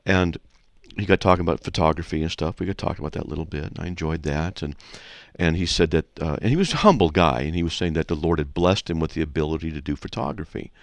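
Man, radio call-in host, low creaky voice, animated storytelling, frank casual teaching, close dry sound. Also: fast, dry recording. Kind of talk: casual conversational teaching and anecdotes.

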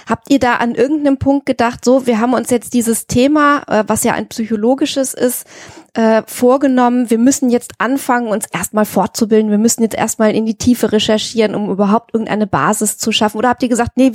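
A woman's voice: on the high side, darkish tone, no room sound, studio quality. A woman hosting a podcast interview, asking wordy, enthusiastic questions in a conversational way. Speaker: podcast host interviewing guests.

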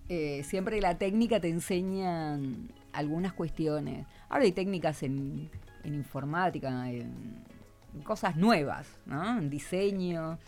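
A woman's voice: deep voice, a little slow, a little mellow, faint music behind. A middle-aged woman with a Buenos Aires accent, interviewing on the radio in an informal, didactic, advice-giving way. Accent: Buenos Aires Argentine Spanish. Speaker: radio host.

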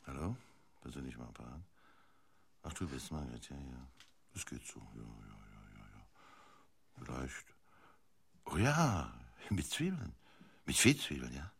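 Casting: a fussy, put-upon announcer in a radio play, bright voice with wide swings in pitch, faint noise. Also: male voice, very animated, measured pace, creaky, pauses often, breathy edge, heavily acted. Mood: distracted. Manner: repeats himself fussily. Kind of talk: German radio play acting.

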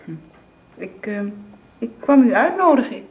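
A woman playing a tender young wife and mother in a naturalistic radio drama, speaking softly and hesitantly. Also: on the high side, very animated, measured pace, phone-quality sound. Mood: hesitant, gentle.

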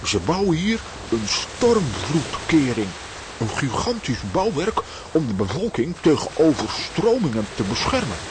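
A man's voice: a little high, big pitch swings, a little slow. An actor playing a bumbling, grandly enthusiastic cartoon man in a children's audio drama, pompous and theatrical. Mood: grandly admiring. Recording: noisy audio drama recording, hissing ambience.

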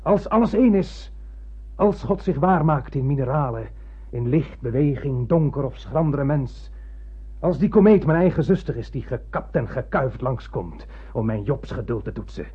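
An actor playing an obsessed old blind spaceship captain in a radio play, with a raspy, brooding, declamatory delivery. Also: male voice, mid-range voice, expressive, moderate pace. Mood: defiant, intense. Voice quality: husky, raspy. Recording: muffled lo-fi radio play recording.

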